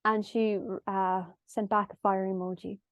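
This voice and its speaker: A woman from Galway with an Irish accent and a nasal, high-pitched voice. She chats casually as a musician guest, telling stories and acting out her own lines. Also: level tone, unhurried, no room sound, lo-fi sound. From Ireland. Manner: chatty storytelling, acts out her own past lines. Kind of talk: casual chat.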